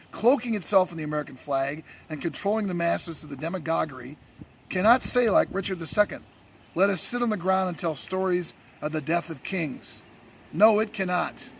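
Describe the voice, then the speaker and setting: A man with a gravelly voice reading a scripted monologue as theatrical courtroom oratory, declamatory and emphatic, with dramatic pauses. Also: sits high, a bit bright, slight background noise. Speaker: writer reading own essay.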